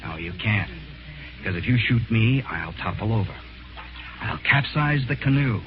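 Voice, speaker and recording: An actor playing a cool, cunning man avenging his sister, deliberate and commanding, in old-time radio mystery style. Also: lowish voice, animated, lightly acted. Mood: calm, accusing. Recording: noisy, dull vintage radio recording.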